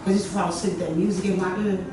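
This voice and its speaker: A woman hosting a workshop, telling stories to a small group in a lively, chatty way, with a lot of "you know.". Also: fairly low pitch, very fast, distant mic. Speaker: workshop host. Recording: echoey room, from afar, noisy.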